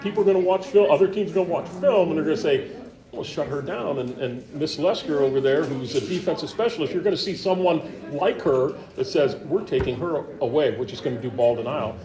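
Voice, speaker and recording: A man's voice: on the high side, a little fast. A middle-aged man hosting a local sports interview show, asking long, rambling questions with lots of fillers. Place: high school gym. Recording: echoey gym with crowd noise.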